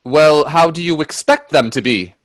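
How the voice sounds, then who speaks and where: An actor playing a timid, wonder-struck gentleman companion in a radio play, with genteel, hesitant delivery. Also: a little high, normal speed, dry recording, lightly acted. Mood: mild, agreeable. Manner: hesitant, stammering in dialogue, genteel phrasing. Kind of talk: radio drama acting and first-person narration. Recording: husky dry close audio from video-call performance.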